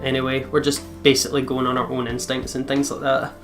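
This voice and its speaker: A man's voice: mid-range voice, monotone, quick pace. A man hosting a let's play, chatting casually and informally over a game. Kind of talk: casual chat over gameplay. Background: music.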